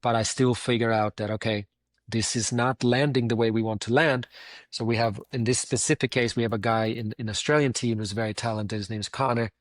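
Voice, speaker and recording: A man's voice: mid-range voice, steady tone, fairly quick, dry recording. A man with a Swedish accent, a company founder answering interview questions in an earnest way, often circling back to restate his points.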